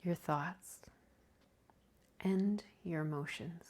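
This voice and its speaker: A woman guides a deep-rest meditation as a yoga nidra teacher. Her voice sits slightly low and moves at a very unhurried pace. The delivery is gentle and calming, with a soft, breathy touch.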